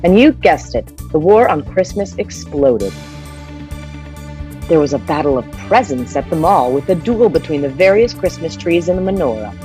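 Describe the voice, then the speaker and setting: A woman's voice: low-pitched, animated, moderate pace, dark tone, faint music behind. A woman playing a breezy, irreverent morning radio newsreader, announcing a bulletin with punch in a radio play. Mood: brisk, irreverent. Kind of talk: mock radio news bulletin.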